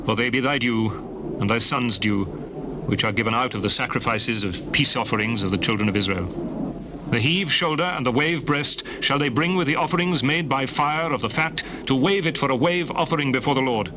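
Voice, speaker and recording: A man's voice: mid-range voice, normal speed, lightly acted. Guy acting a stern old testament prophet, grave and commanding, scripture drama on a noisy old tape. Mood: instructing.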